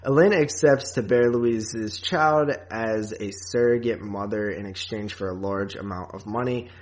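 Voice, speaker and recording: Man chatting, halting and rambling. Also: on the low side. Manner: rambling, halting, filler-heavy. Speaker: podcast co-host. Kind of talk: casual movie discussion.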